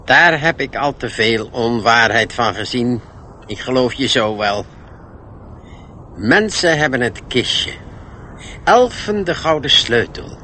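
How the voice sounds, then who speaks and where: Old bookish gnome, secretive, cryptic, self-important, bright edgy voice, radio play, faint noise. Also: male voice, mid-range voice, expressive, takes it slowly, long pauses. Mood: solemn, sly. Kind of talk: radio drama acting.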